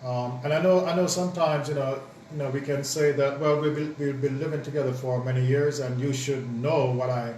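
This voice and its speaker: A man co-hosting a casual chat about marriage, speaking thoughtfully and haltingly with many ums and restarts. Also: medium pitch, steady tone, darkish tone. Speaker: co-host, married partner. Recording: roomy echo, distant mic, faint noise.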